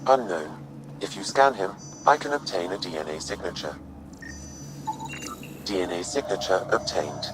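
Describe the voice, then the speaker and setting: Deep, clinical ship AI. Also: male voice, moderate pace, pauses often, noisy background. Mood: dispassionate. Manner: even, clinical, exact. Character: calm, precise male artificial intelligence assistant, dispassionate. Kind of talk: cinematic sci-fi game acting. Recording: music behind the voice.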